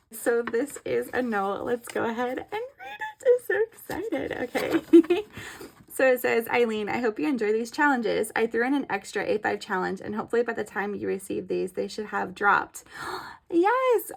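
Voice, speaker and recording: A woman's voice: mid-range voice, creaky. A young woman chatting casually as a vlogger, giggly and gushing, her throat a little scratchy from allergies. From California. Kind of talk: casual chat.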